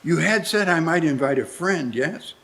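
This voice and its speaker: Guy doing old reformed miser in a radio play, bit high pitched, stammery and formal, eager and kindly. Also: expressive, a bit bright. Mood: hopeful, sheepish. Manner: halting, stammering, formal old-fashioned speech. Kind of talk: live radio play acting. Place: live community theatre stage.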